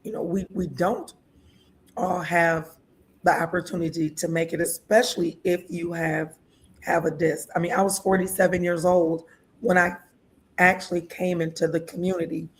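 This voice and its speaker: Lively sixty-year-old woman host, lower voice. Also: moderate pace, slight background noise. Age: 60s. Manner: lively, laughs often, chatty. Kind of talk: casual interview talk.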